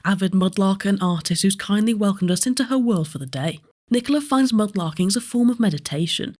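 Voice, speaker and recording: A woman presents scripted history-documentary narration. Her voice sits at a middle pitch, delivered as a prepared storytelling read. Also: darkish tone.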